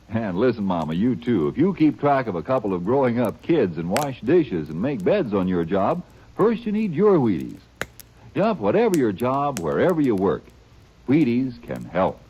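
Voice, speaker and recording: Man doing an old radio cereal ad, folksy and upbeat, mellow husky voice, swoops all over. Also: lowish voice. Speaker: radio commercial announcer. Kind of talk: 1940s radio commercial. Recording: dull old radio recording.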